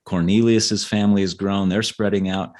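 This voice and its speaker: A man speaking as an author guest in a conversational interview, explaining in a halting way and often repeating words. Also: low voice, level tone, a little mellow. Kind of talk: conversational interview, explaining history and his novels.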